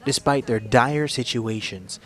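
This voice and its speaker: Man, documentary narrator, scripted, measured, earnest, mid-pitched voice.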